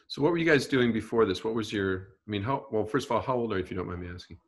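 A man's voice: fairly low pitch, lively intonation, rapid-fire, breathy edge. A man hosting a podcast, asking chatty, casual interview questions. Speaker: podcast host.

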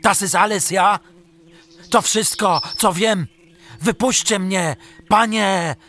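A high-voiced captive trader, begs in a servile, halting way. His delivery is slow and frightened, in the style of radio drama. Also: a bit bright. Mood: fearful, pleading. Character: frightened red-haired trader captive, pleading for mercy. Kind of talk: illustrated radio drama acting.

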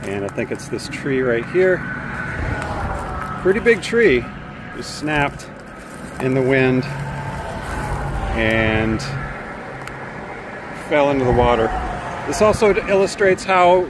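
A man in his fifties with an American accent gives casual vlog commentary in a halting, matter-of-fact way, recorded outdoors on a phone. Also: medium pitch, animated. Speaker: cycling vlogger. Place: outdoors by bike paths and roads. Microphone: phone at arm's length. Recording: noisy outdoor audio with traffic ambience.

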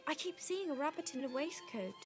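A young woman with an English accent playing a dreamy, outspoken gentlewoman in a period fantasy film. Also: sits high, expressive, a little fast, over music. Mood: earnest. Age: young adult.

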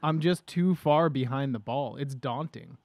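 A man in his thirties hosting a casual podcast at a desk mic, animated and enthusiastic, with casual swearing. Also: mid-range voice, unhurried, no room sound. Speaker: podcast host. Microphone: studio microphone at a desk.

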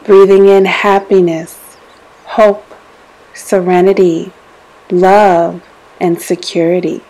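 A woman leading a guided meditation in a gentle, soothing way, giving deliberate instructions with pauses between phrases. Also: fairly low pitch, flat delivery, rough recording. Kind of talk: guided meditation, scripted narration.

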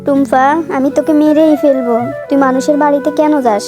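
A woman's voice: sits high, steady tone, a little fast, over loud music, natural voice. A woman playing a poor, caring but exasperated mother in a children's cartoon, with a fretful motherly manner. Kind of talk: children's fairy-tale cartoon dialogue.

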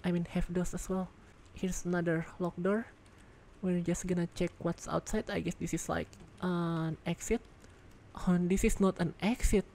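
A woman giving casual gameplay commentary as a reviewer, excitable and thinking out loud, congested and losing her voice. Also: lowish voice, moderate pace, a little hiss or hum. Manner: excitable, exclaims in surprise, thinks aloud. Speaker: game video reviewer.

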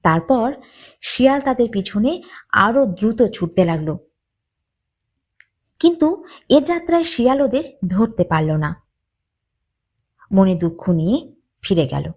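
A woman narrating a children's moral story as a storyteller, with deliberate, dramatic phrasing. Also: mid-range voice, sing-song, a little mellow.

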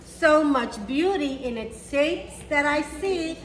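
Woman, high voice, children's read-aloud teacher, encouraging, simple phrasing, roomy echo. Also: expressive, takes it slowly. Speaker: children's storytime reader and teacher. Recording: roomy echo with faint background noise.

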